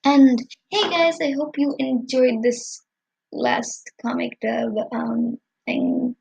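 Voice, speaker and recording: A woman comic dub creator talking casually and haltingly to her viewers. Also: high-pitched, gravelly, darkish tone, slightly rough, no room sound, low-quality recording. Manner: hesitant, halting. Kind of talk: casual video outro to viewers.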